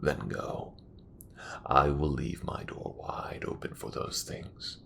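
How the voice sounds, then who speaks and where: A man playing a proud, bitter old imperial commander near death in a sci-fi audio drama, with a grave, grandiose, measured delivery. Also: huge pitch swings, sharp tone, whispered, exaggerated voice. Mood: resigned, weary. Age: older. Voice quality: very deep, gravelly.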